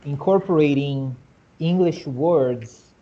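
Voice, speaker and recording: A man from Brazil with a Brazilian Portuguese accent, whose first language is Portuguese, explaining points animatedly in a casual discussion and often asking rhetorical questions. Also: slow pace. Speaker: host. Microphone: video call. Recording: muffled low-fidelity video call audio.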